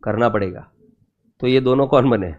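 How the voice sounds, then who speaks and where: A man's voice: mid-range voice, moderate pace, highs cut off. A man lecturing an accounting class, mixing Hindi and English and constantly firing rhetorical questions at his students. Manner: lively teacher who keeps quizzing students with rhetorical questions; teasing and playful with students.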